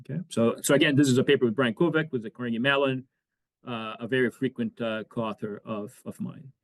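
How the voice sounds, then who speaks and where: A man's voice: mid-range voice, fairly even intonation, brisk. Hesitant Brazilian-born man lecturing. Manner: hesitant, many fillers and restarts. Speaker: economics professor, guest speaker. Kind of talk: academic lecture.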